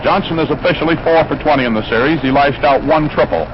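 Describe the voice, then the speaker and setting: A man's voice: a little high, fast talker. An American man calling baseball play by play in 1940s radio commentary style, chatting descriptively between plays. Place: ballpark broadcast position. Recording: noisy, dull old 1940s radio broadcast.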